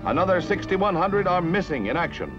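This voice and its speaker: High-pitched 1950s American newsreel man over music. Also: big pitch swings, fairly quick. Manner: dramatic, solemn, emphatic announcer delivery. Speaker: newsreel narrator. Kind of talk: 1950s newsreel scripted narration. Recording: muffled vintage 1951 newsreel soundtrack.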